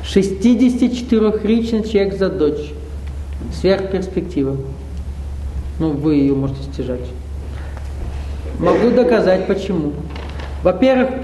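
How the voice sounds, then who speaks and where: High-voiced man lecturing in an echoey hall. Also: background noise. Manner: conversational, rhetorical questions, wry asides. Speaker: spiritual seminar leader. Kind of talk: live lecture to an audience. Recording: roomy hall echo.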